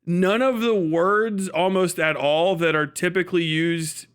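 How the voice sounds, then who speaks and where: An American man with a notably high voice talks like a livestream host reacting off the cuff. His delivery is crude and mocking, full of casual swearing, and it wanders as he goes. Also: fairly even intonation, unhurried, a bit bright, clear, resonant tone. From United States. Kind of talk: casual profane commentary.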